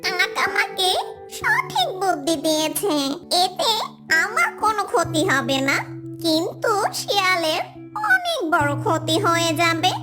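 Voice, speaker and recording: A woman's voice: very high voice, huge pitch swings, measured pace, heavily acted. A woman voicing a small grieving mother tailorbird bent on revenge in a children's cartoon, emphatic and childlike. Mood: resolved. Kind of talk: children's cartoon story dialogue. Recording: music behind the voice. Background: children's music.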